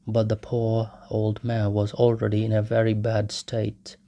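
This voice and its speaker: A man's voice: on the low side, completely flat delivery, a little slow, warm tone. A man reading literary fiction aloud as a dramatic audiobook narrator. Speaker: audiobook reader. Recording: noisy home recording.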